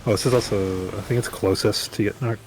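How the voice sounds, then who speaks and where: Gravelly low-voiced man on game chat. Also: steady tone, brisk, darkish tone, a little hiss or hum, rough recording. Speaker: teammate in an online raid. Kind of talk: casual gaming chat. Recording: game music behind the voice.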